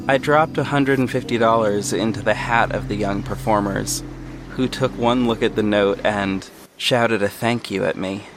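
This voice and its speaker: A man playing a reflective, earnest city hero who narrates an audio drama in the first person, in a measured storytelling way. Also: fairly low pitch, noisy background, lightly acted.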